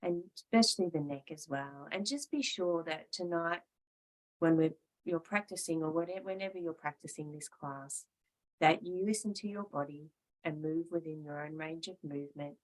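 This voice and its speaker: A woman teaching yoga and guided meditation, calm and measured, leaving pauses between short phrases. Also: sits low, low-quality recording.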